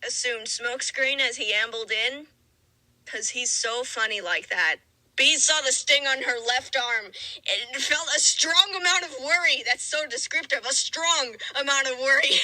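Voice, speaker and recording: A woman's voice: high-pitched, pushed and bright, dry recording, poor audio. A woman giving a mock-dramatic reading of fan fiction, with sarcastic, theatrical asides. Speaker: narrator and commentator.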